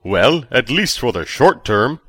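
A giddy, fawning chancellor, put on by a man reading a story, his voice pushed up high and loud. He gushes each word slowly and floridly, with wide swoops of pitch. The sound is dry and close. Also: sing-song, husky, no room sound, heavily acted. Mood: gushing. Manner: gushing, drawn-out delivery.

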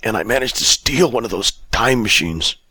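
A man acts out a destitute old drunk from an audiobook. The voice rides high and swings around, bitter and exasperated, with an agitated, pleading edge. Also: animated, normal speed, sharp tone, husky, no room sound, full character voice. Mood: bitter, weary. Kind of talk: acted audiobook dialogue.

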